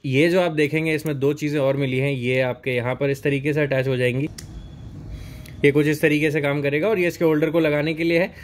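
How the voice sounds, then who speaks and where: A man's voice: on the high side, fairly even intonation, a little fast. A young man doing a casual, explanatory unboxing narration, mixing English words into his speech. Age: young adult. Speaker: product reviewer at a riding gear store. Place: indoors in a helmet and riding gear store. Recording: noticeable background noise, store ambience.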